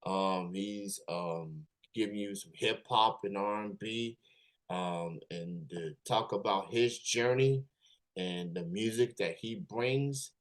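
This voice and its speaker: An upbeat man hosts a casual interview, his voice sitting a little low. He asks his questions loosely, with plenty of ums and restarts. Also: takes it slowly. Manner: upbeat, filler-heavy questions. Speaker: podcast host.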